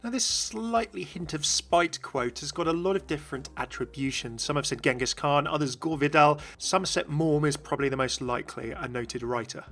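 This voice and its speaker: A man's voice: a little high, normal speed, creaky, hardly any pauses, fairly bright tone, faint music behind. A man giving upbeat, informative explanatory commentary as narrator of a video game channel, recorded close on mic.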